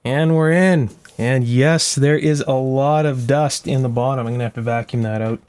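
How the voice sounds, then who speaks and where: A man's voice: medium pitch, fairly even intonation, moderate pace, darkish tone, smooth, no room sound. A man hosting a hands-on restoration demonstration in a workshop, thinking aloud in a casual, methodical, step-by-step way. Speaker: host of an electronics restoration channel. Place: indoor electronics workshop.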